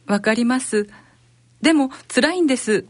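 A woman's voice: a little high, animated, normal speed, no room sound. A woman playing an anxious, downcast wife recovering from cancer in an amateur radio drama.

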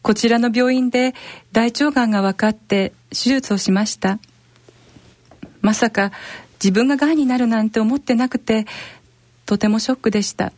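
A woman's voice: fairly high pitch, darkish tone, dry recording, natural voice. A woman playing an anxious, polite married patient who feels misunderstood, in an amateur radio drama.

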